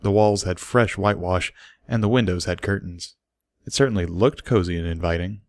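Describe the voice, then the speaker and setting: A man's voice: deep voice, moderate pace, dry recording, studio quality. A man reading a scripted story aloud as its narrator. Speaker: story reader.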